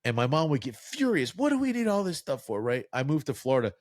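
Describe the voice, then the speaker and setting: A man's voice: medium pitch, sing-song, quick pace, no room sound, clean studio sound. A middle-aged man from New Jersey, a podcast co-host telling long, self-mocking stories in casual chat and quoting people in put-on voices.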